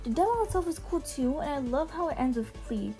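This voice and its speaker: A woman giving casual, chatty commentary as a video host, informal and quick to swear. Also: high voice, big pitch swings, dark tone. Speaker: video host ranking game character demos. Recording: music behind the voice. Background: background music.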